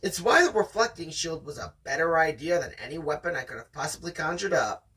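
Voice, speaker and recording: Bright raspy voice reading a story out loud, bouncy and casual, bit far from the mic. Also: mid-range voice, lively intonation, a little fast. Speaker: host reading a novel aloud.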